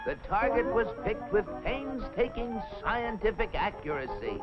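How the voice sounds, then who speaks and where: A man voicing an eager, boastful, fawning Martian space explorer who makes a grandiose speech in a 1950s animated cartoon. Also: high voice, measured pace, loud music behind the voice. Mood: boastful, excited. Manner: earnest, grandiose, speech-making with flustered hesitations. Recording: muffled 1950s film soundtrack.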